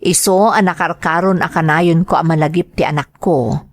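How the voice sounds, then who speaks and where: A woman's voice: lowish voice, steady tone, moderate pace, no room sound, studio quality, natural voice. A woman acting in a drama as a devoted, homesick Filipino mother working as a nanny, with a Filipino accent and an earnest, polite way of speaking. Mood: earnest, sorrowful. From Philippines. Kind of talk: radio drama acting and narration.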